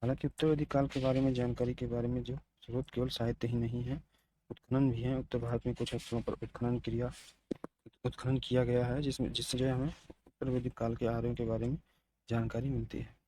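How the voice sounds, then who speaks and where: A man giving an exam-preparation lecture as a teacher, haltingly repeating and restating his key phrases. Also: mid-range voice, flat delivery, warm tone, a little hiss or hum, low-quality recording. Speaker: teacher of exam history lessons.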